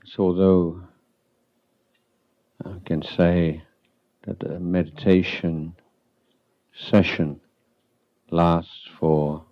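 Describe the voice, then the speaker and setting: Very deep-voiced man guiding meditation slowly. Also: lo-fi sound. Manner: calm, unhurried, halting with long pauses. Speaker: Buddhist monk, meditation teacher. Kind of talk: guided meditation instruction.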